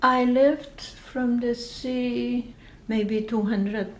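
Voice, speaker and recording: An older woman from Lithuania, a native Lithuanian speaker, explaining patiently in a slow, deliberate way during an oral history interview. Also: on the high side, fairly even intonation, a little hiss or hum.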